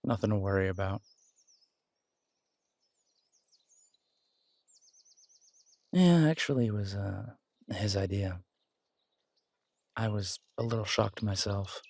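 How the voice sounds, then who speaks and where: A man playing a confident, protective alpha werewolf boyfriend in an intimate roleplay audio drama, husky and soothing, teasing and reassuring in a casual way. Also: on the low side, normal speed, a little mellow, no echo.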